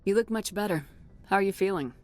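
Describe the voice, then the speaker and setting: A woman playing a composed, direct military starship commander in a video game, speaking in a calm and probing way. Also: medium pitch, quick pace, soft background music, close to an everyday voice. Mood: reassuring.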